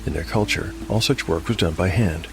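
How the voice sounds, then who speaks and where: A man narrating as host of a scripted dark fantasy story show, in a measured, articulate storytelling manner. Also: low-pitched, gravelly. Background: music.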